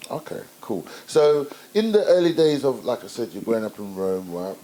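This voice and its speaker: A man's voice: mid-range voice, very animated, normal speed, dark tone, low-quality recording. A man hosting an interview, asking long, rambling questions full of fillers like "you know" and "obviously". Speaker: interview host.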